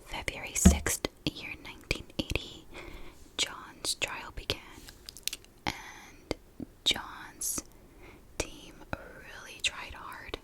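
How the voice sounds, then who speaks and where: A woman whispering a true crime story in a soft, breathy ASMR style, unhurried and informal, like chatting to viewers. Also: rough recording. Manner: unhurried, informal, conversational narration.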